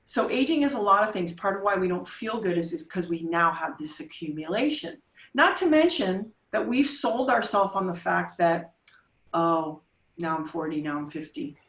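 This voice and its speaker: A woman's voice: lowish voice, animated, moderate pace, a bit bright, recorded from a distance. Emphatic woman in her forties, roomy sound. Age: 40s. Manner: emphatic, coaching, punchy rhetorical questions. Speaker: podcast guest. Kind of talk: casual interview talk.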